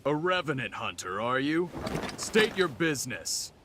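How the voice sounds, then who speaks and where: A man's voice: medium pitch, sing-song, unhurried, pushed and bright, a little hiss or hum. A man playing a charismatic, grandiose visionary leader in a video game cutscene, with an eloquent, self-assured, theatrical delivery. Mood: dry, dismissive. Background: music.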